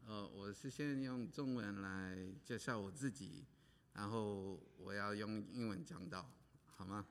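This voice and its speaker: A man from Hawaii speaking as a pastor, halting with many pauses and fillers while an interpreter follows him. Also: on the low side, vocal fry. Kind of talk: preaching with interpreter. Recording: long hall reverb.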